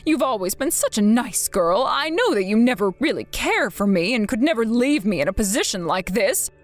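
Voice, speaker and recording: Woman putting on a shrill, whining greedy mother. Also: sing-song, normal speed, faint music behind. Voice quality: high-pitched, pleading and wheedling. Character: whining, manipulative middle-aged mother. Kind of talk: dramatized story.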